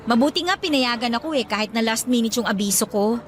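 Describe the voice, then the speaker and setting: A woman's voice: on the high side, animated, quick pace, a little hiss or hum, close to an everyday voice. An actress playing a pushy, eager young woman, chatty and playfully insistent, in a Filipino radio drama. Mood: excited, insistent.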